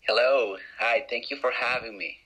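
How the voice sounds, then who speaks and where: Peruvian-accented man, bright voice. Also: mid-range voice, normal speed, husky, rough recording. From Peru. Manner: hesitant, many restarts and uh fillers. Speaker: guest. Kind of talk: interview storytelling.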